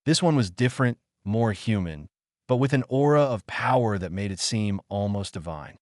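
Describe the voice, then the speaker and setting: A man narrates a science fiction audio drama in a low voice. His intonation moves around a lot, and the sound is dry and close. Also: lively intonation, moderate pace, a little mellow, no echo. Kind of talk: scripted sci-fi audiodrama narration.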